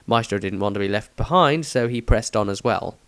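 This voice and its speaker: A man's voice: fairly low pitch, expressive, fairly quick, no room sound. A man reading a scripted fantasy story as its narrator. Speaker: author and narrator.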